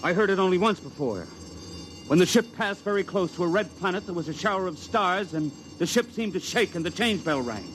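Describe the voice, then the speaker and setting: A man's voice: high voice, animated, fairly quick, a bit bright, a little hiss or hum. An actor playing a restrained, earnest young male attendant who is curious about his feelings, in a 1950s radio drama. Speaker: character in a 1950s radio play.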